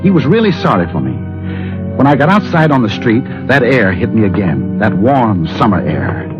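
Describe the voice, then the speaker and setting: An overworked, restless married office man in a 1940s radio mystery. His delivery swings with expression. Loud music plays behind a dull vintage recording. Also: lowish voice, big pitch swings, moderate pace. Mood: brooding. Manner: hesitant, stammering in conversation. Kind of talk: 1940s radio mystery drama acting, first-person narration and dialogue.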